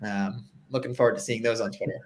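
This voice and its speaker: A man's voice: fairly low pitch, fairly even intonation. Young male host, upbeat and chatty, home video call. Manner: upbeat, fillers, quick reactions. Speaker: livestream host. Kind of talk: casual interview over video call. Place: home room with framed pictures.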